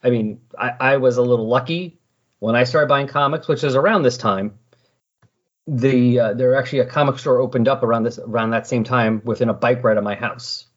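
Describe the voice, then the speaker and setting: A middle-aged man with a slightly husky voice hosting a casual comic book discussion in a chatty, explanatory way. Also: mid-range voice, brisk. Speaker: podcast host. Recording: dull lo-fi voice recording.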